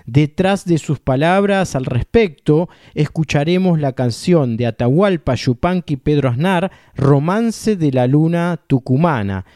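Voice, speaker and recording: A man's voice: a little high, mellow, dry recording, clean studio sound. A man presenting a radio music program, reading scripted introductions. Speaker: radio host.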